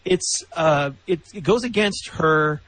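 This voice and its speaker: Husky, sardonic male podcast commentator. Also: fairly high pitch, takes it slowly, fairly bright tone, rough recording. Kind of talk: casual critical discussion.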